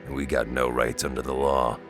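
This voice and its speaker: An actor playing a tough, blunt mutant brawler who talks in clipped, folksy slang with gruff fondness. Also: male voice, rumbling low, level tone, a little fast, fairly bright tone, soft background music, full character voice. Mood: grim.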